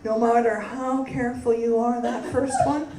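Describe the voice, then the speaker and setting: A woman from Canada doing a stand-up comedy set, delivering deadpan punchlines and bantering with the crowd on a club stage. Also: on the high side, normal speed. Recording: roomy live club sound from a distance with crowd laughter.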